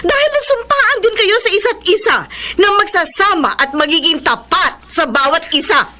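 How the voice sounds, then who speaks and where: A woman's voice: very high-pitched, lively intonation, normal speed, pushed and bright, full character voice. An actress playing a devout, disapproving middle-aged Filipino mother in a radio drama, speaking in a stern, moralizing way. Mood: stern, pleading. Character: devout, disapproving mother of a grown married daughter.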